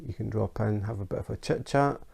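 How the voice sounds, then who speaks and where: A Scottish man hosting a casual how-to craft vlog, chatty and relaxed, with frequent ums and uhs. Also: fairly low pitch, fairly quick, creaky, husky. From Scotland. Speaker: YouTube craft channel host. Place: his home in Denmark.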